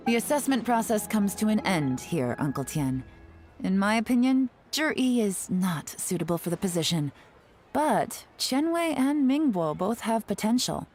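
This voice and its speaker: A woman's voice: mid-range voice, expressive, normal speed, a little mellow, close to an everyday voice. An actress playing a confident, shrewd female intelligence agent in a video game, composed and matter-of-fact. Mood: composed, assured. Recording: music behind the voice.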